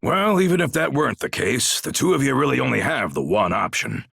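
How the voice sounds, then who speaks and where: An actor playing a brash, blunt Norman warrior chief in a video game, gravelly and husky, with a gruff, folksy, commanding way of talking. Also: medium pitch, very animated, fairly quick, a bit bright, no echo, studio quality, full character voice. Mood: gruff, frank. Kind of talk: video game cutscene acting.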